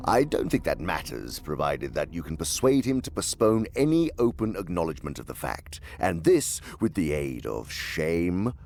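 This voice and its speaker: Very deep, creaking senior demon. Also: male voice, big pitch swings, darkish tone, slight background noise. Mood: scheming, lecturing. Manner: pompous, relishing, theatrical delivery with drawn-out words. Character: senior demon mentor, sly, pompous, savoring corruption. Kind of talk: audio drama acting.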